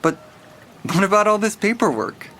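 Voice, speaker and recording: An actor playing a nervous young police rookie who speaks hesitantly and apologetically in an audio drama. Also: male voice, high voice, moderate pace, bright, forceful tone, a little hiss or hum.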